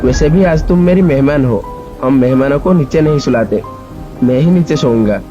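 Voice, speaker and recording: A man playing a caring, smitten young office boss in an animated story, gently insistent, with music and rain behind him. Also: mid-range voice, normal speed, muffled recording, close to an everyday voice. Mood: caring, reassuring.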